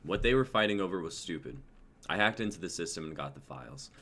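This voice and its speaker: A pompous young techie stranded after a crash, played by a man in an amateur sci-fi reading. The voice is very low, and the delivery is self-important and pessimistic. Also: expressive, quick pace, a bit bright, full character voice. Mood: grim. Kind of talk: amateur dramatic table reading.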